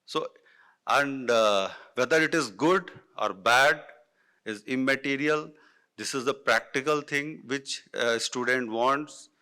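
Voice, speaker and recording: A man's voice: medium pitch, bright, forceful tone. A middle-aged man from north India, a native Hindi speaker with an Indian English accent, giving unscripted panel remarks full of fillers and restarts. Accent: Indian English, Hindi-influenced. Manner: halting, many fillers and restarts.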